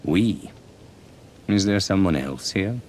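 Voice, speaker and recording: A man's voice: deep voice, expressive, slow, darkish tone, a little hiss or hum. A man voicing a guarded, commanding Sith protagonist in a video game, with a controlled, skeptical, dry delivery. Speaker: video game character voice actor. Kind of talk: scripted dramatic game dialogue.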